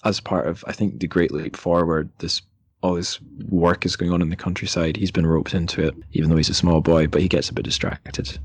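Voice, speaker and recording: Man, very low voice, literary podcast host, dry close sound. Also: slightly rough, no echo. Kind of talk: casual literary podcast discussion with read-aloud book passages.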